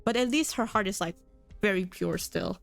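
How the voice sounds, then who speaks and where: A woman streamer chatting casually in a soft, drawn-out way while reading a game story, with faint music behind. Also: medium pitch, creaky. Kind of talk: casual live-stream commentary.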